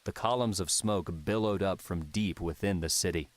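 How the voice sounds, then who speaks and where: A man's voice: sits low, moderate pace, no echo. A man narrating scripted fiction as an audiobook narrator.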